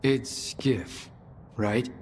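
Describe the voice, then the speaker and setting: A man's voice: medium pitch, very slow. A man playing a polite, composed, probing official in a formal video game cutscene. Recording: long hall reverb, faint noise.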